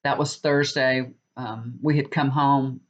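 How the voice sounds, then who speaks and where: A woman's voice: rumbling low, fairly even intonation, takes it slowly. Middle-aged woman, sniffly with a cold, rambling casual vlog chat, dull lo-fi sound. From Texas. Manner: chatty, rambling, with many restarts. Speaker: tarot reader. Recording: dull lo-fi recording.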